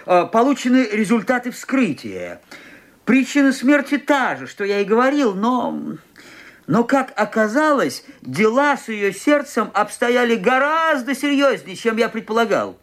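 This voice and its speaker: An actor playing a fussy, well-meaning older doctor in a radio drama, hesitant and consoling. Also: male voice, extremely high pitch, very animated, unhurried, a bit bright, heavily acted. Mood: earnest, consoling. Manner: hesitant, repeating himself, consoling.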